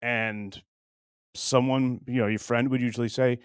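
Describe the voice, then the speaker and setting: A man hosting a casual comedy show, talking straight to viewers in a halting, stop-and-start way. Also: medium pitch, level tone, smooth, dry recording, studio quality. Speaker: show host introducing a puppet bit.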